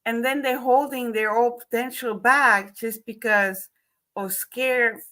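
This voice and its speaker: Estonian-accented woman host, bright clear tone, friendly interviewer, casual questions. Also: fairly high pitch, fairly even intonation, slow pace. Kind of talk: online interview.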